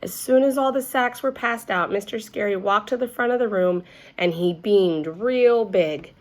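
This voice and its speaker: A woman's voice: medium pitch, moderate pace. A woman reading a children's story aloud expressively, as if to young listeners. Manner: addresses young listeners with questions.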